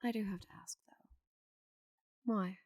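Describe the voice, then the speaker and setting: A woman voicing a giant female orc knight in an ASMR roleplay, confident, teasing and loyal, with soft breathy asides. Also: mid-range voice, fairly even intonation, a little slow, no room sound, studio quality. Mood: calm, amused. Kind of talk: ASMR roleplay, intimate slow delivery.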